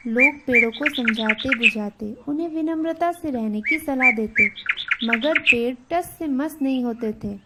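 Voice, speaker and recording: A woman's voice: high voice, a little slow, heavy background noise. A woman telling a scripted children's story as a storybook narrator.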